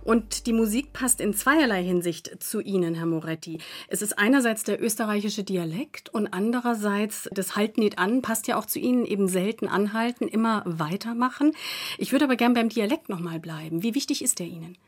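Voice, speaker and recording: A woman's voice: medium pitch, brisk. A woman hosting a talk show interview, attentive and encouraging, prompting her guest with short affirmations.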